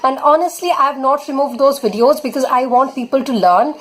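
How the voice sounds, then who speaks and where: A woman's voice: high voice, brisk. A young Indian woman with an Indian accent, an English tutor giving earnest spoken tips in a vlog lesson. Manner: earnest, advising, leans on fillers like "basically" and "honestly".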